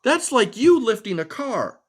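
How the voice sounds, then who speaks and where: A man reading a children's picture book aloud as a storytelling father, expressive and explaining things to a child. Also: very high-pitched, measured pace, breathy edge.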